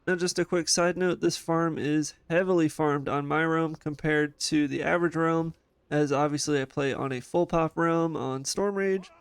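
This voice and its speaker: A man's voice: on the high side, fairly even intonation, moderate pace, clear, resonant tone, no room sound. A man hosting a gaming channel, talking casually and eagerly through a game guide voice-over with frequent filler phrases.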